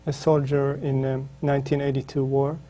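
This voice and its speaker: Hesitant Israeli man, dark and flat. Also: medium pitch, normal speed, husky. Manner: hesitant, many ums and restarts. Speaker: author, interview guest. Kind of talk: reflective interview answers.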